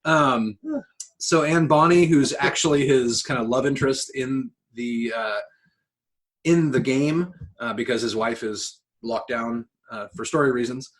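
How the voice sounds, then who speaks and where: A man's voice: mid-range voice, a little slow. A man co-hosting a casual podcast, talking enthusiastically and swearing freely. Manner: profane, enthusiastic. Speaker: podcast co-host.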